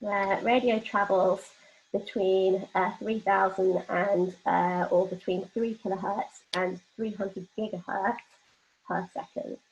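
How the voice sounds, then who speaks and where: A woman's voice: mid-range voice, flat delivery, slow pace, vocal fry, slight background noise. A woman presenting an explanatory workshop lecture, talking informally and illustrating points with everyday examples. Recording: dull, lo-fi band-limited audio.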